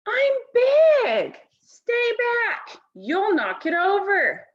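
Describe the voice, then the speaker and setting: A woman voicing a scowling, bossy young boy who loudly dismisses his little sister in a storybook. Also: very high-pitched, wildly swooping pitch, extremely slow, highs cut off, voice pushed up, exaggerated voice. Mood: scornful, annoyed. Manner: loud, dismissive.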